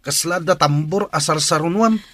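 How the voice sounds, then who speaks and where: An actor playing a callous, dismissive young boyfriend in a radio drama, speaking brusquely with a Filipino accent. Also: male voice, fairly high pitch, moderate pace, sharp tone. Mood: scornful.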